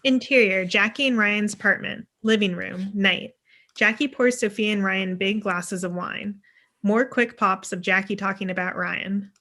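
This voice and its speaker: A woman's voice: medium pitch, a little slow, bright, forceful tone. A woman giving a scripted narration of screenplay stage directions.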